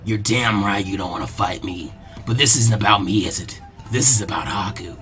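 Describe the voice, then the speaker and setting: Guy doing a deep taunting ninja villain, slow n mocking, story reading w music under. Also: close to an everyday voice. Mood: menacing. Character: menacing, taunting male mercenary ninja swordsman. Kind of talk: fan fiction audiobook reading.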